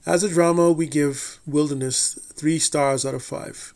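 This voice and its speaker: A man reading a scripted TV review in a measured, deliberate way, with the odd slip and restart. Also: on the high side, steady tone, darkish tone. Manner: measured, deliberate delivery with occasional slips and restarts. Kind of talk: scripted review read aloud.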